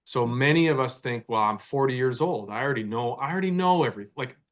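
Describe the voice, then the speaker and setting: Man, forties, reflective, medium pitch, casual interview talk. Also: expressive, fast. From Canada. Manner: reflective, repeats words while thinking. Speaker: guest, mindset coach. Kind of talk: conversational podcast interview.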